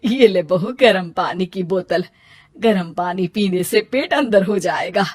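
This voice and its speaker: A woman voicing a kindly older mother-in-law in an animated moral story, affectionate and coaxing. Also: on the low side, a little slow, husky, no room sound. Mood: caring, coaxing.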